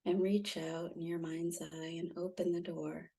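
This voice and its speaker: Low, mellow woman guiding meditation. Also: flat delivery, creaky, slightly rough. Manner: soft, soothing, gently instructive with long pauses. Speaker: meditation guide, intuitive healer. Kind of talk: guided meditation.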